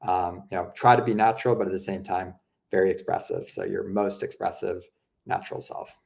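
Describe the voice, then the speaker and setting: A young man casually walking viewers through a software product, chatty and relaxed, with lots of "you know" and "um". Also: low voice, a little fast, vocal fry. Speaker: product presenter. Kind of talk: casual explanatory walkthrough.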